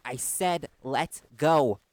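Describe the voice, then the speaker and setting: A man playing a defiant but frightened young male reporter held captive, speaking in a curt, strained, halting way in an audio drama. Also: a little high. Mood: angry defiance. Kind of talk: scripted audio roleplay drama.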